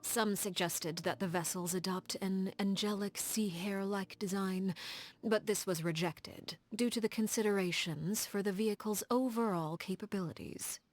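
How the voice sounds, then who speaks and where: A woman playing a coolly elegant, formal leader of agents in a video game, speaking in a composed, measured and refined way. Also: medium pitch, close to an everyday voice. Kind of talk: scripted video game character dialogue.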